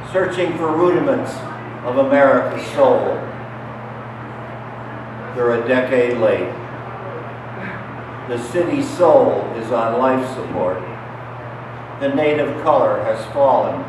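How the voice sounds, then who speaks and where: Poet reciting slowly on a noisy street. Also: male voice, medium pitch. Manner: slow, measured, pausing between phrases. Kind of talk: poetry recitation. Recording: distant, echoey street recording with noise.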